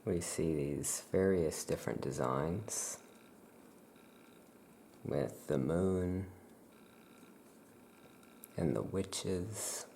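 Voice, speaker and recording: A soft-spoken ASMR host playing a calm witch shopkeeper, talking gently and without hurry while showing items. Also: low-pitched, gravelly, a little hiss or hum. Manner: gentle, unhurried, hushed delivery. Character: calm Salem witch shopkeeper welcoming customers. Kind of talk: soft-spoken ASMR roleplay, product showcase.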